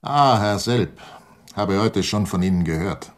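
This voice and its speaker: An actor playing a curt, intimidating plant security boss, dismissive and menacing, in a radio crime drama. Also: male voice, fairly low pitch, animated, a little slow.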